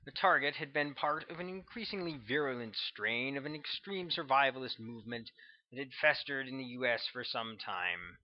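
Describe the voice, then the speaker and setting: A man reading his own novel aloud as scripted audiobook narration, at a middling pitch. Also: lively intonation, normal speed. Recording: dull, lo-fi sound.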